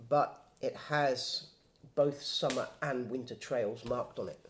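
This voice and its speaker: Man, mid-pitched, steady step-by-step guide explaining, light background hiss. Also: takes it slowly. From England. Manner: patient, plain step-by-step explaining. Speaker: hiking guide explaining navigation. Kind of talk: casual instructional explanation.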